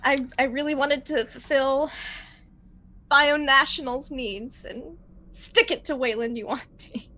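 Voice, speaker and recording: Woman, high voice, tabletop roleplaying player, casual chat, reading notes aloud, animated and jokey. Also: slow. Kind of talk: casual post-game chat with read-out notes.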